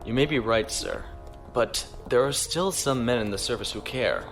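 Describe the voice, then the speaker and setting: A man's voice: medium pitch, moderate pace, soft background music, lightly acted. A young man playing an earnest, deferential navy lieutenant who gets flustered and stammers. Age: young adult.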